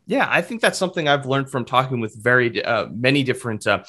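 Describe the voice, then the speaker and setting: A man's voice: mid-range voice, lively intonation, fast talker, sharp tone. A man hosting an interview, talking in a friendly, chatty way and often saying "like". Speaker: interviewer host. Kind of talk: casual interview chat.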